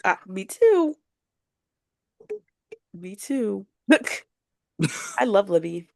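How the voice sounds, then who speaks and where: Young woman podcast host, creaky voice. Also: mid-range voice, big pitch swings, extremely slow, no room sound, studio quality. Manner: chatty, informal, slangy. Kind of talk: casual podcast chat.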